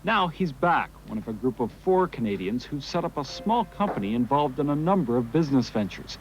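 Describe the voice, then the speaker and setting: A man narrating a television news report in a measured broadcast style. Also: medium pitch, sing-song. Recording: slightly dull broadcast audio with faint noise.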